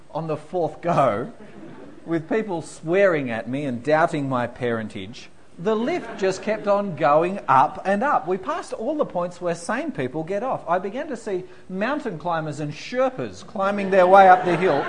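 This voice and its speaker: Man, preaching, self-deprecating storyteller, fairly high voice, swinging pitch, faint room hiss. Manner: self-deprecating storyteller, animated, laughing through anecdotes. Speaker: pastor. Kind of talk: sermon with humorous stories.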